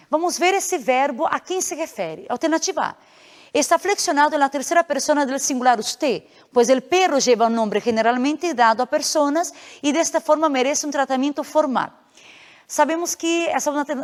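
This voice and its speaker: A Brazilian woman giving an explanatory language lesson, addressing students directly in a didactic way as she works through exam questions. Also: sits high. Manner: switches between Portuguese explanation and reading Spanish aloud. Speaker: Spanish teacher. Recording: long hollow reverberant hall echo.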